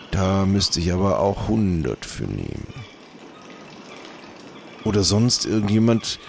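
A man playing a polite, slightly pompous trader who haggles with salesman-like drawn-out courtesy in an improvised audio play. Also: sits low, vocal fry, slight background noise, heavily acted. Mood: salesmanlike.

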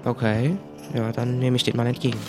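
Man acting as agreeable pirate letter carrier, medium pitch, hesitant, audio play, faint music. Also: completely flat delivery, brisk, warm tone, close to an everyday voice. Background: background music.

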